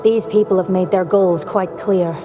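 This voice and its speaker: A woman's voice: on the low side, fairly even intonation, moderate pace, long reverb tail, music in the background, natural voice. A woman playing a businesslike, authoritative director who speaks formally and matter-of-factly in a video game. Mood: businesslike, concerned. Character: serious, businesslike female director, brisk and authoritative. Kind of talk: video game character acting.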